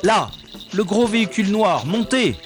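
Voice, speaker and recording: An actor playing a wry special agent who narrates in first person with dry, understated quips. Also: male voice, high-pitched, very animated, normal speed. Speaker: hero and narrator. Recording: music score playing underneath.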